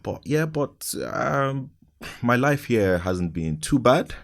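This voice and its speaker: A man in his thirties from Nigeria, telling his story as an interview guest, halting often with ums. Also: mid-range voice, expressive, creaky. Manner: halting, with many ums and restarts.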